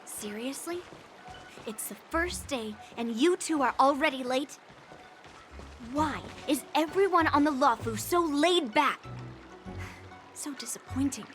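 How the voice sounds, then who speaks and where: A woman's voice: sits high, lively intonation, unhurried, pauses often, a bit bright. An actress voicing a proud, competitive young swordswoman in a game, with a haughty, blunt way of talking. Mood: scornful. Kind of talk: game cutscene acting. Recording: prominent music behind the voice.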